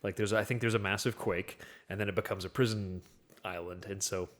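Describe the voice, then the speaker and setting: Male, fairly low voice. Podcast panelist, conversational and discursive, working thoughts out as he speaks. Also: steady tone, fairly quick. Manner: rambling, thinking aloud. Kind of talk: casual film discussion.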